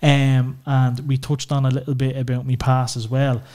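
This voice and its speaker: A man's voice: mid-range voice, monotone, normal speed, a little mellow. An Irish man opening up as a podcast guest, talking frankly about family and swearing casually, with lots of "you know" filler. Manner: open and emotional, swears freely, many "you know"s. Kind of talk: candid personal conversation.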